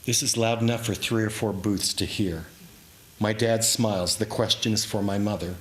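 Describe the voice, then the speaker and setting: A man giving a dramatic, reflective reading of his own memoir story, with deliberate pauses. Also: lowish voice, fairly even intonation, fairly bright tone. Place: indoors in a historic house, live reading. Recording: clearly noisy live room recording.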